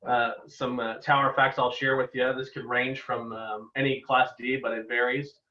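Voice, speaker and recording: Male webinar presenter, mid-pitched, casual and wry, heard through a dull, roomy connection. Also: flat delivery, normal speed, bright, forceful tone. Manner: casual, joking asides. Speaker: guest speaker, air traffic controller and pilot. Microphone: online video call. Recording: echoey, distant, dull webinar microphone.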